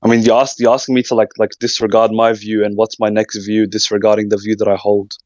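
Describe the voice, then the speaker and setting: A man's voice: lowish voice, little pitch movement, fast, darkish tone, no echo, highs cut off. A man debating online, defensive and repeating words when pressed. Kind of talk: casual debate.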